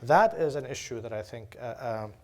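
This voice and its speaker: A man's voice: mid-range voice, normal speed, darkish tone. A man from Jordan, a lecturer answering audience questions, talking with many fillers, repeated words and restarts. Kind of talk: academic Q&A answer. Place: lecture hall.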